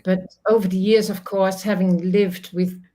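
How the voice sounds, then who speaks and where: A woman's voice: mid-range voice, little pitch movement, moderate pace, dark tone. A middle-aged woman from the Netherlands, a native Dutch speaker, talking as a guest over a video call, reflective and laughing often, with many restarts. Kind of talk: casual interview chat.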